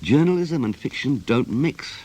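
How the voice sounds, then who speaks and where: An actor playing a troubled middle-aged English writer in a radio play, wistful and rueful. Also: male voice, medium pitch, sing-song, moderate pace, a little mellow, husky. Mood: wistful, frustrated. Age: 40s.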